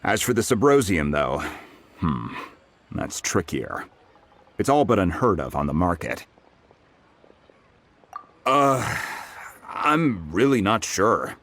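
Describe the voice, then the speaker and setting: An actor voicing a friendly, humble blacksmith in a video game, chatty and self-deprecating. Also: male voice, deep voice, big pitch swings, slow, pauses often, a bit bright, heavily acted.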